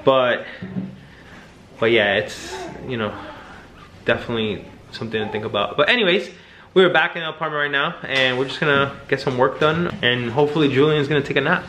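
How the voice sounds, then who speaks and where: A man's voice: medium pitch, steady tone, brisk, pushed and bright, slight background noise. A man in his thirties talking casually to a handheld camera while travelling, chatty and upbeat. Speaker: travel vlogger. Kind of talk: casual vlog talk to camera. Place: city streets, car and apartment while travelling. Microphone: handheld camera at arm's length.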